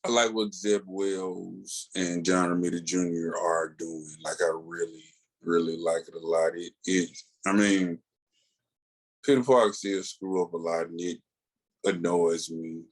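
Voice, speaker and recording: A man with an American accent chatting casually as a podcast co-host, telling things in a slangy, animated, halting way. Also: sits low. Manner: slangy, animated storytelling with many filler pauses. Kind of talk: casual chat.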